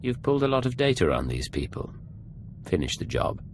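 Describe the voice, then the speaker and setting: An actor playing a calm, commanding male secret agent in a video game, gravelly and measured. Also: mid-range voice, slight background noise, lightly acted. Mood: brisk, commanding. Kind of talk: video game cutscene acting.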